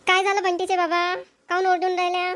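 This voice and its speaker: A woman's voice: very high voice, completely flat delivery, fast talker, a bit bright, dry recording. A woman playing a practical, nagging village wife and mother in a cartoon comedy, with a Vidarbha Marathi accent. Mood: explaining.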